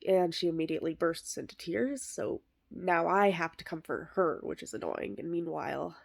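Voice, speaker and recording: A woman voicing a bitter, sardonic middle-aged male horse actor, delivering a comic eulogy monologue in a deadpan, wry way. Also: on the low side, moderate pace, gravelly. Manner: deadpan, self-deprecating, wry.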